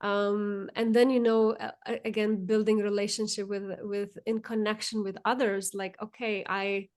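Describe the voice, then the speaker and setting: A woman's voice: medium pitch, flat delivery, moderate pace. A woman hosting a podcast chat as a coach, speaking in a flowing, repetitive way with many fillers and restarts. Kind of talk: casual chat.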